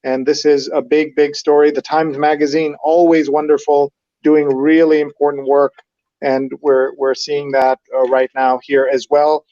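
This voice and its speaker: A man's voice: fairly high pitch, little pitch movement, a little slow, warm tone, dry recording, lo-fi sound. A man hosting a live read-along, chatting enthusiastically and hopping between asides as he reads out and comments. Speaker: host of a live newspaper read-along show.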